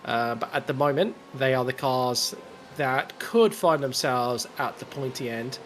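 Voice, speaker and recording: A man with an Australian accent calling a live sim race as commentator, carrying a cold, steady and explanatory. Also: medium pitch. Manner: measured play-by-play, explains moves. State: has a cold. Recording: loud game car noise behind.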